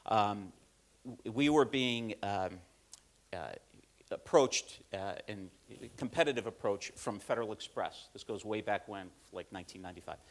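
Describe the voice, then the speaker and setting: A man's voice: lowish voice, normal speed. A middle-aged man from upstate New York giving a conference presentation, telling self-deprecating stories with many fillers and restarts. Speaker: corporate learning speaker. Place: conference room.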